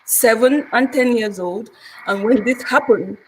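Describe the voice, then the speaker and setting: A woman's voice: on the high side, a little slow, a little hiss or hum, rough recording. A woman in her thirties hosting an interview, effusive and a little hesitant. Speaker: host. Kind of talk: conversational interview.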